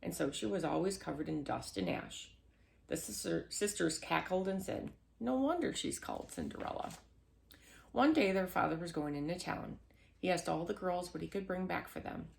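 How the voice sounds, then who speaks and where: Female storyteller, lowish creaky voice, children's fairy tale read-aloud with small slips. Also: moderate pace. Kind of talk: scripted children's storybook narration.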